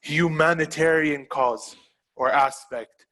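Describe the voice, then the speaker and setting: A man's voice: a little high, steady tone, slow, sharp tone. A man hosting a podcast, speaking in an impassioned, emphatic way and repeating phrases for stress. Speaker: podcast host. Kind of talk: casual podcast talk.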